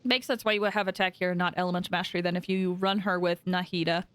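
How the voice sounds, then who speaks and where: A woman chatting casually on a game stream, giving animated reactions to what she sees. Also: lowish voice, quick pace, clear, resonant tone. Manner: chatty, animated reactions, breaks into singing and laughter. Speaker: livestreamer. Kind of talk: casual live gaming commentary with chat. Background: game music.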